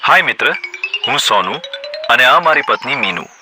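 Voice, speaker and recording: A man plays a kind, naive male goat in a children's cartoon, talking in a friendly, trusting way. His pitch sits mid-range and moves a lot, over background music. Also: lively intonation, normal speed, natural voice. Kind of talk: cartoon voice acting.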